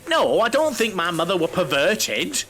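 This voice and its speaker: High-voiced, chatty, naive bachelor. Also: male voice, sing-song, moderate pace, pushed and bright, heavily acted. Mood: reminiscing, rambling. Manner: guileless, rambling, oversharing. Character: chatty, naive, lonely middle-aged bachelor, mother-obsessed coach passenger. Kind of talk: audio drama comedy acting.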